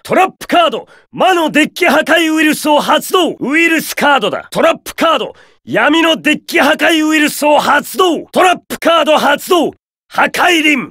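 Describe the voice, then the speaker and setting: An actor playing an arrogant, domineering young card duelist in an anime video game, declaiming imperious battle commands theatrically. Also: male voice, very high voice, sharp tone, no room sound, studio quality, heavily acted.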